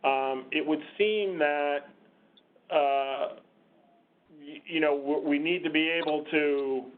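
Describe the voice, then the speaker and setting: A man moderating an unscripted meeting discussion on a conference call, speaking in a halting, hesitant way. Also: fairly high pitch, fairly even intonation. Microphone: conference call line. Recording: muffled, phone-like conference call.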